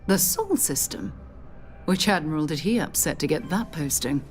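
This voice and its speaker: A dry, knowing female space explorer. She speaks directly and teasingly in a lowish voice with lively inflection, over clearly audible music. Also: normal speed. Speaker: companion character. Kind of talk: video game cutscene acting.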